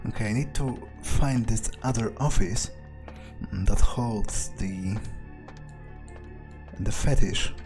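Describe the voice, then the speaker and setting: A man thinking aloud in character as he plays a video game, muttering deliberately and swearing now and then. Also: on the low side, over music. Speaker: gamer doing an in-character playthrough.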